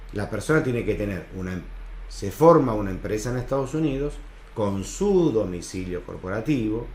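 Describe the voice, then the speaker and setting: A man's voice: medium pitch, slight background noise. A man gives an informal explainer as an adviser, in a didactic way, posing questions and answering them himself. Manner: didactic, asks and answers own rhetorical questions. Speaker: business adviser presenting an explainer. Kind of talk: informal explainer talk to viewers. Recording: echoey, roomy sound.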